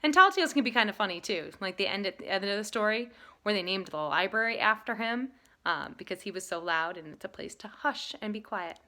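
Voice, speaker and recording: A woman reading aloud to children in an expressive style, leaning dramatically on key words. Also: medium pitch, brisk. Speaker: storybook reader.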